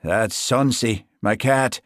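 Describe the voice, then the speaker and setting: A man voicing an affable, folksy police sergeant with a Scottish Highland accent, in an audiobook. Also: takes it slowly, dry recording, clean studio sound, lightly acted. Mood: wry. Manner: informal, folksy. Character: lanky Highland police sergeant, affable, easily flustered, sly.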